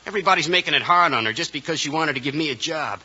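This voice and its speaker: A man's voice: a little high, expressive, very fast, sharp tone, slightly rough, full character voice. An actor playing a bitter, defensive young cowboy protesting his innocence in an edgy way, in a 1950s radio western. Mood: hurt, protesting. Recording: dull old radio recording with hiss.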